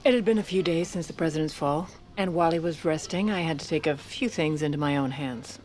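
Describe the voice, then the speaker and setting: Actress as a wry, low-voiced Lady. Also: a little fast, darkish tone, a little hiss or hum, lightly acted. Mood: wry, confiding. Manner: dry, wry, controlled. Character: wry, scheming, self-assured American First Lady. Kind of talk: audio drama narration and dialogue.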